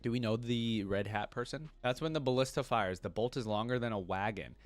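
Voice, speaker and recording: A man with a mid-pitched voice hosts a reaction video. He moves between reading a fantasy story aloud and casual, off-the-cuff commentary. The sound is dry, with very little room in it. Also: brisk, no echo, faint music behind.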